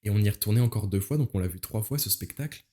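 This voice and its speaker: A man's voice: fairly low pitch, fast talker, mellow. A nineteen-year-old man, chatting casually as an interview guest in youthful slang, searching for his words. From Paris, France. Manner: informal, youthful slang, hesitant searching phrasing. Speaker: interview guest, musical theatre performer.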